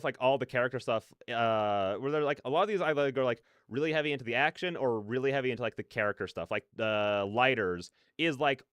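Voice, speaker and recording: Clear-voiced man rambling through casual reactions. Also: medium pitch, fairly bright tone, dry recording, clean studio sound. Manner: rambling, repetitive, stammering emphasis. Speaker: reaction video host. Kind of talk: casual excited reaction commentary.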